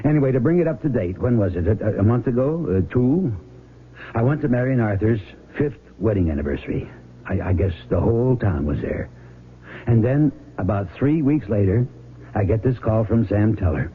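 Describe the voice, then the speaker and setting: An actor playing an earnest, folksy small-town sheriff who speaks haltingly, in the style of a 1970s radio drama. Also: male voice, lowish voice, lively intonation, natural voice. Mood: troubled, halting. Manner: halting, repeats himself, folksy. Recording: narrow tinny vintage radio broadcast, noisy.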